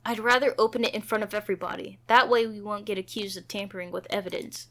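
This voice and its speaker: A woman's voice: medium pitch, fairly even intonation, fast, sharp tone. A woman reading a visual novel aloud, moving between narration and character dialogue, with music playing behind her. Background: background music.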